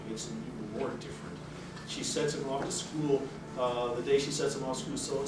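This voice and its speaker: A middle-aged man giving a public lecture, talking conversationally with many fillers and restarts. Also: mid-range voice. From native English speaker. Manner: conversational, many fillers and restarts, reads quotes aloud with rising energy. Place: carpeted seminar room with audience. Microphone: room mic, away from the speaker at a lectern. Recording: very echoey room from far off, with noise.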